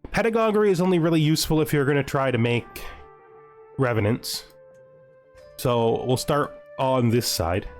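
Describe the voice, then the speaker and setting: Male gaming host, mid-range voice, casual and playful, rambles through decisions aloud, music underneath. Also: mid-range voice. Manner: rambling, thinking aloud, often asking himself questions. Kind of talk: casual let's-play commentary on a strategy game.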